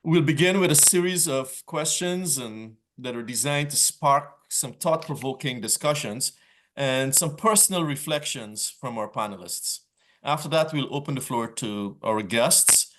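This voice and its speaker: Man, mid pitch, panel moderator, prepared remarks, brisk at times. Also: fairly bright tone. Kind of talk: scripted opening remarks.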